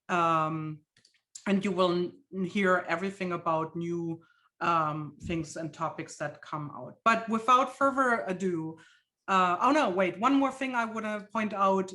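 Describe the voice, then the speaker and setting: A woman's voice: on the low side, pushed and bright. A woman from Germany with a German accent, hosting an art webinar over a video call in a casual, chatty way with many fillers. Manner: chatty, with frequent fillers and laughs. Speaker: host. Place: home studio.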